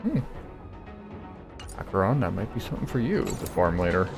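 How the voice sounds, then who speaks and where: A man's voice: low voice, big pitch swings, normal speed, vocal fry. A man giving casual game commentary, thinking aloud and muttering playful asides to himself as he plays. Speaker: gamer streaming a playthrough. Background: game music.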